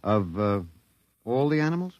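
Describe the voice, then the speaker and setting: A man's voice: mid-range voice, very animated, normal speed, a little mellow, no echo, dull audio, lightly acted. A man playing a bewildered, wry professor in a 1950s radio drama, hesitant and informal, with dry humor. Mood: wry, resigned. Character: bewildered, wry male anthropology professor, last human survivor. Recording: noisy old radio recording.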